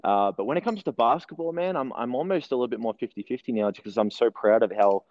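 A man's voice: mid-range voice, fast, darkish tone, no echo, lo-fi sound. A man in his thirties with a mixed Australian and New Zealand accent, chatting as an interview guest and telling stories with lots of fillers and restarts. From New Zealand, raised in Australia. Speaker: guest, strength and conditioning coach. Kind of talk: casual interview conversation.

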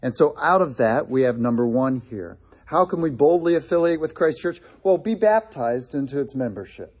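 A man's voice: fairly high pitch, animated, normal speed, darkish tone, husky. A middle-aged man preaching a sermon in a conversational way, with frequent restarts and asides to the congregation. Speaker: pastor.

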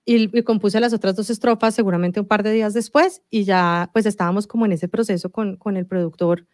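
A woman's voice: mid-range voice, steady tone, brisk, darkish tone, no room sound, clean studio sound. A middle-aged woman from Colombia with a Colombian accent, chatting as an interview guest with many fillers and restarts. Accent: Colombian Spanish. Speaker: singer-songwriter guest. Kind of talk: casual interview conversation.

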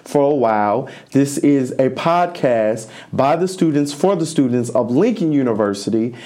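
A man's voice: mid-range voice, lively intonation, moderate pace, dark tone. A young college man co-hosting a student podcast, talking casually with many fillers and restarts, his voice a bit strained after losing it. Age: young adult. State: slightly strained voice. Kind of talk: casual chat.

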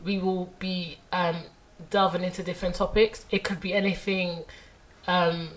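A woman's voice: lowish voice, little pitch movement, normal speed, poor audio. A woman, hosting a casual podcast chat, chatty and rambling with fillers and frequent laughs. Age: 20s. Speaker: podcast host.

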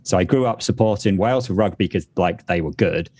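A man's voice: sits low, brisk, darkish tone. A middle-aged man, co-hosting a podcast in casual chat, talking in loose, restarting sentences. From England. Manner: chatty, with frequent restarts and fillers. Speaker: podcast co-host.

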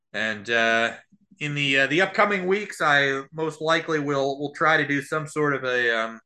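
A man calling live basketball play-by-play as a podcast host, somewhat halting between plays. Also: mid-range voice, bright, forceful tone.

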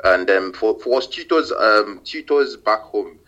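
Low-voiced man, startup founder in interview, halting with fillers, dull lo-fi audio. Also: expressive, fairly bright tone. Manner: hesitant, many fillers and repeated words. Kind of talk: casual interview.

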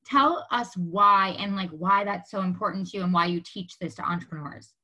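A woman hosts a podcast in a bright, medium-pitched voice. She is chatty and complimentary, working her way conversationally toward long, roundabout questions. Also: normal speed, rough recording. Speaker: podcast host. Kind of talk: conversational interviewing.

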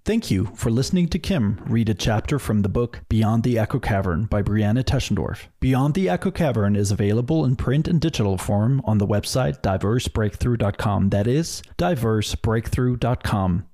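A man reading a scripted podcast outro in a measured, promotional manner. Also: on the low side, animated, mellow. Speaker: podcast announcer.

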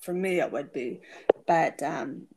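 A woman's voice: low-pitched, slow pace, very noisy. A woman hosting a podcast, asking questions in a casual, informal and encouraging way. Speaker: podcast host.

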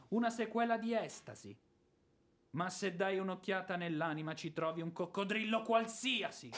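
An actor playing a blustering, indignant former army officer in a radio drama, declamatory and sarcastic. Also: male voice, high-pitched, a bit bright, exaggerated voice. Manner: declamatory, sarcastic, prone to bellowing. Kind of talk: theatrical Italian radio drama acting.

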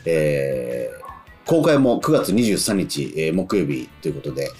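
Deep-voiced, lively male radio host. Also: measured pace, slightly rough. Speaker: radio show host and actor. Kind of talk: radio talk show hosting. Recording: music playing behind the voice.

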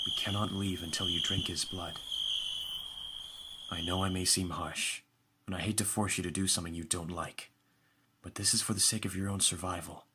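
A man playing a proud, protective vampire master in an audio roleplay, speaking in a measured, formal, instructive way. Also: sits low, noisy background.

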